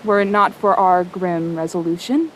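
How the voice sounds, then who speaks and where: A woman's voice: lowish voice, normal speed, slight background noise, close to an everyday voice, rough recording. A woman playing the grave, wry seafaring narrator of a whaling tale, in dramatic scripted narration. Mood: storytelling.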